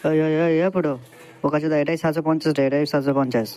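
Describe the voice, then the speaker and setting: A man's voice: fairly high pitch, steady tone, very fast, darkish tone, soft background music, natural voice. A man voicing a generous but wary young male buddy in a crude comedy cartoon, blustery and slangy, often repeating himself. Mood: wary, irritated.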